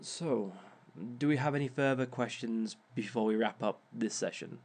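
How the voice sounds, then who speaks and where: A man playing a suave, smug reformed villain who lectures as a relationship expert in a theatrical, measured, drawn-out way. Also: medium pitch, darkish tone, close to an everyday voice. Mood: proud, genial. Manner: theatrical, measured, drawn-out phrasing with sly chuckles. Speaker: speaker leading a seminar session. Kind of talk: scripted in-character audio roleplay lecture.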